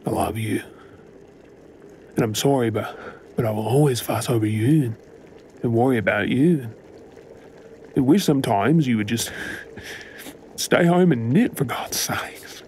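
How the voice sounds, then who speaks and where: An Australian man playing a frantic, tender boyfriend fussing over his partner in an intimate audio role-play, stammering with emotion, his voice husky. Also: medium pitch, a little slow, long pauses, darkish tone, slight background noise, natural voice. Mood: shaken, loving.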